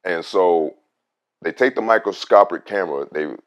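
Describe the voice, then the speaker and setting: A man with a very deep, rich voice, a radio host telling a casual story about his own voice. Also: normal speed, lo-fi sound. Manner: animated, swings loud on emphasis.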